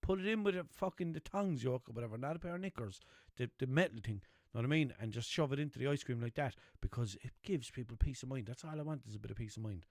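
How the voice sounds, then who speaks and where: A man's voice: medium pitch, fast, few pauses, no room sound. Chatty Limerick man with a dry sound. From Ireland. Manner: chatty, rambling, swears freely, asks listeners "know what I mean". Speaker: podcast host. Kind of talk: casual rambling monologue.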